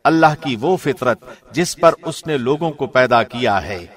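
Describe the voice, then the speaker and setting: A man, a professional radio host, reading a translation aloud in a measured, formal way. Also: medium pitch. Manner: measured, formal, even delivery. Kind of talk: scripted reading.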